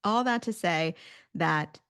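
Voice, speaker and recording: A woman's voice: fairly low pitch, takes it slowly, fairly bright tone, clear, resonant tone. A middle-aged woman, a career coach hosting a podcast, talking in a casual, encouraging way with plenty of "like" and "you know".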